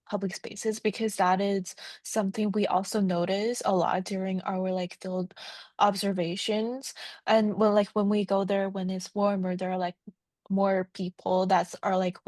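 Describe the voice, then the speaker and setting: Female interviewer, casual and tentative, fairly flat intonation, close dry sound, lots of "like" as filler. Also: mid-range voice, no echo. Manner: informal, tentative, very frequent "like" as filler.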